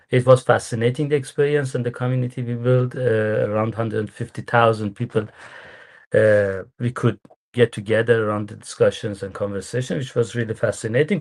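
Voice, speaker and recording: A man whose first language is Persian, hosting a podcast in English with friendly, slightly unidiomatic phrasing. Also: mid-range voice. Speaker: podcast host.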